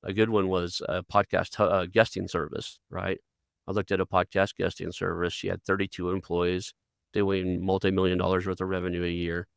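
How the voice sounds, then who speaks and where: A middle-aged podcast host from Oklahoma with an Oklahoma accent talks in a low, gravelly voice. He rambles in a folksy way, restarting his sentences as he goes. The recording is dry and close, with no room sound. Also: level tone, normal speed, no room sound. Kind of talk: conversational interview.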